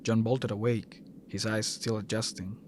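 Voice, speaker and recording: A man's voice: fairly low pitch, flat delivery, measured pace, a little mellow, a little hiss or hum. A man reading scripted narration for an audio drama.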